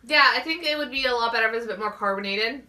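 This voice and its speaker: A woman's voice: a little high, very fast, bright, forceful tone. A woman co-hosting a geek talk show, chatting in a casual, informal style. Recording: distant from the mic.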